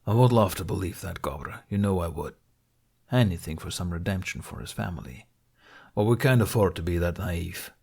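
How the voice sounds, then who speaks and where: A man voicing a stern, weary middle-aged Viking chief in an audiobook, grave and resolute in a measured way. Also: low-pitched, gravelly, darkish tone, slightly rough, heavily acted. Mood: restrained, wistful.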